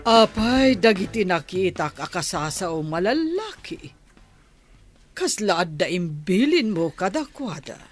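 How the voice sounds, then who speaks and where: A woman's voice: medium pitch, big pitch swings, a little slow, soft background music. A woman acting in a radio drama as a wary mother with a probing, admonishing manner. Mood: suspicious, admonishing. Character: questioning mother wary of her scheming son.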